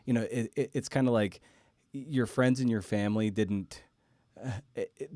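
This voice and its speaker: A man hosting a podcast interview in a casual, halting way, often repeating words as he forms his thoughts. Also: mid-range voice, dark tone, no echo, clean studio sound. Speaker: podcast host and writer.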